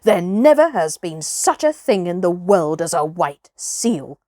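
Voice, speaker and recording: A proud old bull seal from a children's storybook, acted out by a woman, grumbles and snorts with great pomposity. The delivery is slow and weighty, recorded close and dry. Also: fairly low pitch, sing-song, mellow, dry recording, voice pushed down. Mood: scornful.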